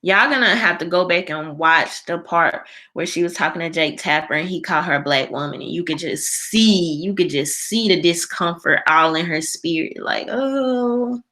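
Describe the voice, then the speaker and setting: A woman's voice: on the low side, normal speed, few pauses, pushed and bright. An American woman hosting a casual live chat, talking in a colloquial, opinionated and emphatic way as she responds to viewers. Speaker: live show host. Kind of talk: casual livestream chat, reading and answering viewer comments.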